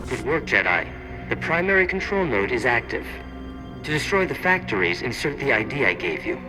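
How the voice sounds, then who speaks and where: An actor playing a sly, know-it-all tech expert in a video game, brisk and dismissive, through a radio filter. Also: male voice, mid-range voice, big pitch swings, over music, rough recording. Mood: brisk, helpful. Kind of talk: video game dialogue acting.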